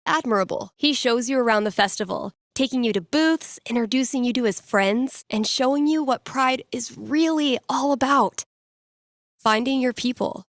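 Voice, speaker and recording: A woman's voice: a little high, dry recording. A woman voicing an upbeat young radio DJ in a video game, conversational and teasing, with dry humour as she talks to callers. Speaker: radio DJ taking calls and telling fortunes. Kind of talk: playful radio host patter, storytelling to callers.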